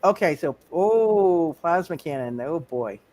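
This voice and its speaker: A man running a live tabletop superhero game as game master, thinking aloud in halting phrases and repeating his words. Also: fairly high pitch, expressive, a little mellow.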